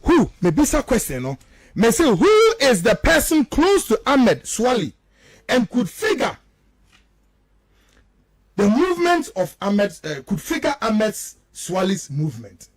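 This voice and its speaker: High-pitched, animated Ghanaian man, emphatic commentator. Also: a little slow, no echo. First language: Twi. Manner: emphatic, repeats rhetorical questions for effect. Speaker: political commentator, studio guest. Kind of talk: impassioned radio political commentary.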